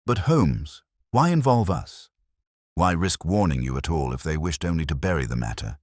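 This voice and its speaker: A man's voice: extremely low pitch, very animated, moderate pace, darkish tone, dry recording, clean studio sound, exaggerated voice. A man voicing a detective's loyal, cautious companion in an audiobook, earnest and questioning. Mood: puzzled, urgent.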